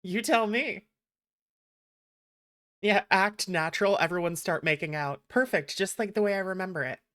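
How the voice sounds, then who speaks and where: A woman hosting a livestream, chatting casually in an animated, teasing way with some swearing. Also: medium pitch, normal speed. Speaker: livestreamer, VTuber host.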